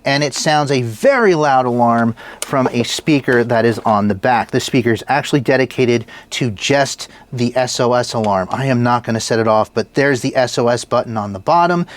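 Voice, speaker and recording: A man's voice: mid-range voice, dry recording. A man giving a casual gear review in a plain-spoken, folksy way, as the host talking to viewers. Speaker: product reviewer and channel host.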